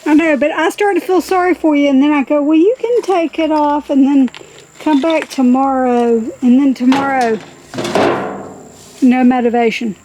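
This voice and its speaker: A woman's voice: sits high, moderate pace, mellow, slight background noise, low-quality recording. A woman chatting casually with her husband outdoors, frank and teasing, her voice a little worn out. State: losing her voice. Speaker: co-host, the host's wife. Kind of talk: casual chat. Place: outdoors in a backyard.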